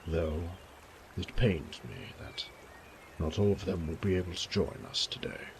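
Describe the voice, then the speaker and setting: A kindly old baron for an audio drama, fussing over his family in genteel, effusive speech. His delivery is slow and swoops widely, with some creak in the voice. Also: sits low, very animated, a little hiss or hum, exaggerated voice. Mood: tender, concerned. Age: older. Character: kindly, doting elderly baron, a fussy noble father. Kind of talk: audio roleplay drama acting.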